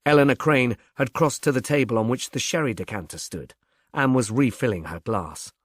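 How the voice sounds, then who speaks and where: A man's voice: mid-range voice, normal speed, breathy edge, no echo, studio quality. A man reading a detective novel aloud as a scripted audiobook narrator.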